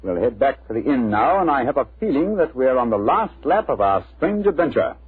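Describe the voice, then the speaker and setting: A man's voice: mid-range voice, sing-song, fairly quick, natural voice. A man playing a shrewd, confident English detective with a clipped, incisive manner, in a 1940s radio drama. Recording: dull old radio recording, music behind.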